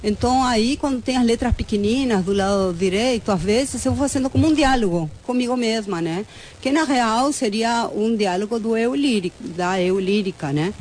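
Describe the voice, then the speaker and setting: A woman's voice: medium pitch, darkish tone, slight background noise. A woman from Argentina with an Argentine Spanish accent, a native Spanish speaker, talking as a poet guest in Portuguese, laughing often and hesitating.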